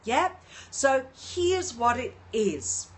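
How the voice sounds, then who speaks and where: An older woman hosting a casual livestream from her apartment on her phone, often pausing to greet and thank viewers. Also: high voice, sing-song. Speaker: livestream host. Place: at home in an apartment.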